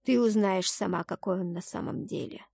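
A woman voicing a solemn male god in a fantasy audiobook, grave, measured and commanding. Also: mid-range voice, vocal fry, a little mellow, slightly rough, no room sound, clean studio sound. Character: stern, solemn male god pronouncing grim destiny. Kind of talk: dramatic fantasy audiobook acting.

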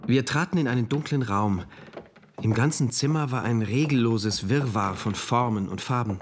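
An actor playing a rigid, troubled man from a regimented future, narrating a literary radio play in a deliberate, brooding, precise way. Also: mid-range voice, slight background noise, close to an everyday voice. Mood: brooding, tense. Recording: music behind the voice.

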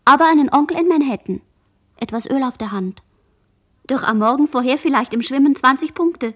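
A woman's voice: high voice, a bit bright, exaggerated voice. A woman playing a barely conscious shipwrecked girl in a radio play, speaking in dreamy, fearful, fragmentary poetic phrases. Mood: trance-like. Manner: fragmentary, incantatory, drifting phrases. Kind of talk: radio play monologue, poetic disjointed speech.

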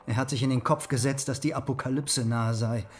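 An actor playing an earnest, loyal religious dissident in a German radio drama, speaking gravely and persuasively. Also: male voice, medium pitch, steady tone, a little fast, slight background noise, lightly acted. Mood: earnest, consoling.

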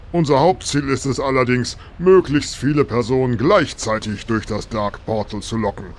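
A self-important demon lord, played by a man, delivering evil plans in the smooth tone of a company spokesman. His pitch sits mid-range, and a faint hiss runs under the voice. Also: natural voice. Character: pompous demon lord selling invasion as PR. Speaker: demon lord being interviewed. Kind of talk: parody audio drama.

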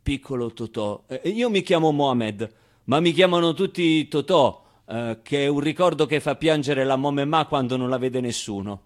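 A man's voice: medium pitch, animated, normal speed, lightly acted. Stage monologue role: man as serene elderly storyteller in his eighties, kind, reflective, wistful. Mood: gentle. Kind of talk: theatrical monologue.

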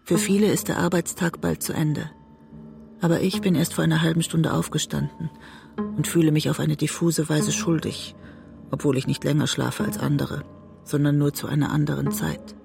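Gravelly, disillusioned woman. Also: sits low, fairly even intonation, over music. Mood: weary, detached. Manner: introspective, measured storytelling. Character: disillusioned, guilt-ridden woman writer trapped in a bitter marriage. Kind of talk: literary radio drama monologue, first-person narration.

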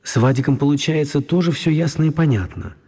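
A man narrating a children's radio story in an expressive, mock-grand dramatic style. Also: fairly high pitch, brisk. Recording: clear background noise and ambience.

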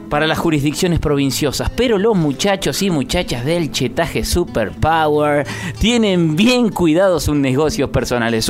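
Wry, higher-pitched Argentine male narrator. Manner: wry, florid, theatrical. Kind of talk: satirical radio drama narration.

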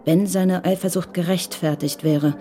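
A woman reading scripted narration for a radio crime drama in a composed, measured way. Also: fairly low pitch, steady tone, mellow, soft background music.

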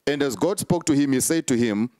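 Brief: man, preacher being interviewed, mid pitch, halting but emphatic, dry recording. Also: expressive, dark tone, no echo. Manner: emphatic, repetitive preacher cadence with many fillers. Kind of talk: sermon-like interview answers.

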